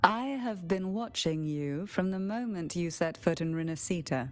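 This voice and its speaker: An actress playing a solemn, refined noblewoman in a video game, speaking in a measured, formal, stately way. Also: on the low side, expressive, darkish tone, no echo, close to an everyday voice. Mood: gentle, composed. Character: solemn, refined noblewoman, head of a castle family. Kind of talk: dramatic video game dialogue. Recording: game audio with faint music behind.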